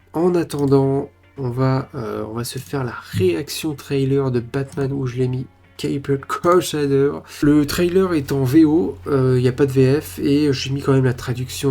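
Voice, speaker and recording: Excited rambling man reacting to trailers. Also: mid-range voice. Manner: excited and rambling, with many fillers and restarts. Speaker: channel host reacting to trailers.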